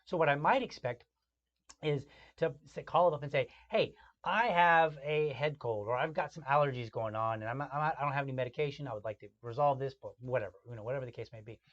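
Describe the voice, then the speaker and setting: Brief: mid-pitched male podcast host, steady explainer, lo-fi muffled recording. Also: quick pace. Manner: steady listener with frequent "right" affirmations, restarting phrases as he explains. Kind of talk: casual podcast chat. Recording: dull lo-fi podcast audio.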